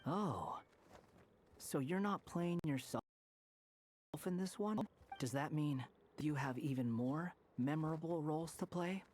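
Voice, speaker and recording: A man's voice: fairly high pitch, a little slow, pauses often, darkish tone, a little hiss or hum, close to an everyday voice. A man voicing a prickly, sardonic young wanderer in a video game, speaking curtly. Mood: indignant. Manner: curt, sardonic. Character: prickly, sardonic young male wanderer turned stray cat.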